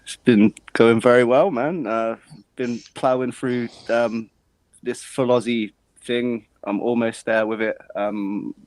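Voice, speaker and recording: Male co-host, mid-pitched, easygoing, dry close sound. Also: steady tone, takes it slowly, a little mellow, dry recording, poor audio. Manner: easygoing, self-mocking. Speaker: podcast co-host. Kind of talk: casual chat.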